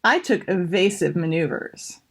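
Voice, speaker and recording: A woman voicing a spirited, stubborn, inventive young girl with an expressive, dramatic manner in a children's picture book. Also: lowish voice, measured pace, slight background noise, pitched below the performer's own voice. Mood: disdainful.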